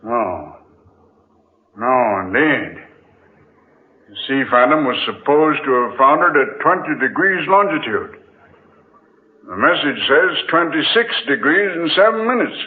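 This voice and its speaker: An actor playing a troubled, suspicious sea captain in a halting, weighty old-time radio drama style. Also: male voice, mid-range voice, animated, natural voice. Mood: shaken, suspicious. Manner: slow, halting, weighty delivery. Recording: muffled old radio recording.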